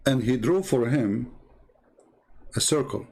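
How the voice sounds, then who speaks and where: Husky, gravelly man explaining, non-native English. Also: medium pitch, expressive, takes it slowly, darkish tone. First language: not English. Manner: didactic, emphatic, repeats phrases. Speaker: religious commentator, show host. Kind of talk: informal explanatory talk, storytelling and argument. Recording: shortwave radio talk.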